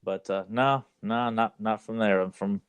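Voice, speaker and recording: A man's voice: lowish voice, steady tone, measured pace, clean tone, no echo. Southern guy from virginia chatting on a podcast, lots of you knows, dry sound. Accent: Southern American, Virginia. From rural Virginia. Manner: easygoing, filler-heavy. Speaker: podcast co-host. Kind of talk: casual chat.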